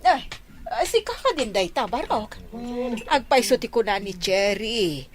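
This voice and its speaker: A woman's voice: fairly high pitch, big pitch swings, unhurried. A woman playing a friendly village auntie who runs a canteen in an Ilocano comedy radio drama, with an effusive, singsong delivery. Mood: welcoming.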